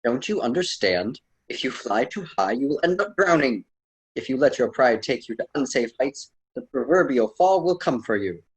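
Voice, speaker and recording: A man playing a wise, admonishing storyteller mentor in an amateur radio drama, formal and slightly husky. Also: medium pitch, big pitch swings, normal speed, poor audio. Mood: grave. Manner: formal, solemn, didactic.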